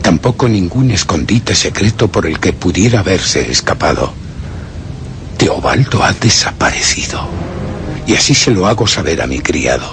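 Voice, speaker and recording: A man with a Castilian accent playing a haunted, obsessive gentleman who narrates a horror radio play in a grave, suspenseful, theatrical way. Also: low voice, expressive, normal speed, background noise. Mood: fearful, tense. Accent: Castilian Spanish. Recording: dramatic music behind the voice.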